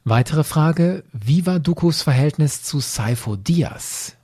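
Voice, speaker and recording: Husky man with animated delivery. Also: a little high, takes it slowly. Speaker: podcast host. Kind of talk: explanatory solo podcast narration, addressing listeners directly.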